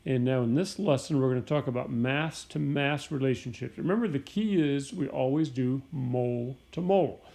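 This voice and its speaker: A middle-aged man teaches a recorded chemistry lesson while sick, his voice hoarse and raspy with a gravelly fry. His tone is dark and mellow, and he explains each step plainly, often clearing his throat. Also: mid-range voice, normal speed, hardly any pauses.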